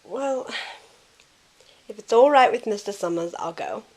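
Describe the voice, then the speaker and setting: A woman playing a kind, caring young woman in an audio drama, with a gentle, earnest way of talking. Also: on the high side, animated, unhurried. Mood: friendly, easygoing.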